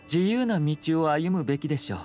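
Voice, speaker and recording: An actor voicing a suave young noble lord in a video game, speaking formal, measured with courteous composure and subtle wryness. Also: male voice, on the high side, lively intonation, a little mellow, faint music behind, lightly acted. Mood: courteous, composed.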